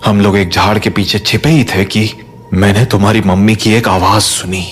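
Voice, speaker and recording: A man playing a protective, stern father with a firm, measured manner in a horror audio drama. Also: fairly low pitch, steady tone, slight background noise, natural voice. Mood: somber recounting. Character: protective, stern father hushing and explaining to his son. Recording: music under the voice.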